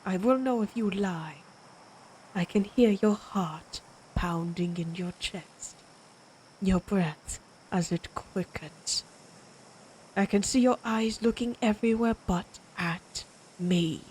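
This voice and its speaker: A woman's voice: mid-range voice, darkish tone, slight background noise, lightly acted, low-quality recording. A woman playing a proud, menacing vampire warrior of ancient Germanic tribes in a dramatic audio roleplay, speaking in a taunting, predatory way. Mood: cold menace. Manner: slow, taunting, theatrical, predatory.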